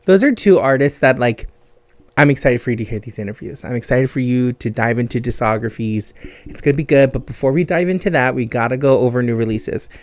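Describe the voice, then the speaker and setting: A man's voice: medium pitch, brisk, dry recording. A man hosting a music podcast in a chatty, enthusiastic way with casual, slangy talk. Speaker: podcast host.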